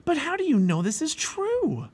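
A man's voice: very high-pitched, lively intonation, moderate pace, a little mellow, breathy edge, voice pushed up, heavily acted. A man voicing an eager, wide-eyed and easily swayed young boy in a children's storybook. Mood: eager wonder.

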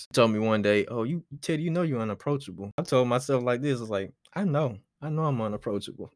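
A twenty-year-old man hosting his solo podcast, rambling in a relaxed way with lots of fillers. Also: fairly low pitch, no echo, clean studio sound. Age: 20s. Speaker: podcast host.

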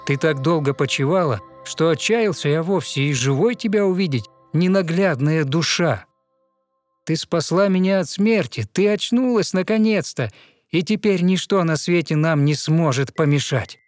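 A man's voice: fairly high pitch, animated, moderate pace, faint music behind, close to an everyday voice. A man playing a young, loving prince who speaks with tender relief in a verse audio fairy tale. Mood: tender, relieved.

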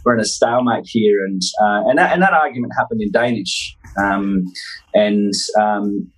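An Australian man in his forties telling casual personal anecdotes as an interview guest, in a halting, self-deprecating way. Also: fairly low pitch, breathy edge, rough recording. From North Queensland, Australia. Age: 40s.

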